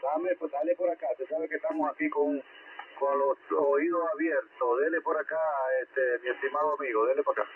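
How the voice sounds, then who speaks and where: A man's voice: fairly high pitch, steady tone, a little fast. A man working as an amateur radio operator, chatting casually over the air. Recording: tinny, noisy shortwave radio transmission. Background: radio static.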